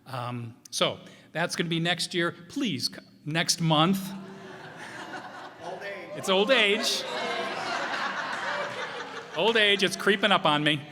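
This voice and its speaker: A man's voice: sits high, takes it slowly, pauses often. A middle-aged man talking informally to a live audience, rambling and self-deprecating as he previews things. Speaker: director previewing upcoming shows. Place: live stage in a hall. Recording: reverberant hall.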